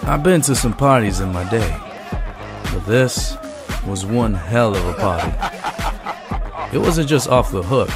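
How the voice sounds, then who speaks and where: A man's voice: fairly low pitch, lively intonation, unhurried, long pauses, music in the background. A man voicing an ambitious, cocky henchman who narrates a motion comic in hardboiled first person.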